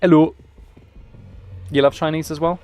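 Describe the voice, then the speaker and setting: A man's voice: a little high, fairly even intonation, moderate pace, a little mellow. A man chatting casually as he streams a video game, with dry, wry asides. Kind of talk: casual livestream gaming chat and commentary.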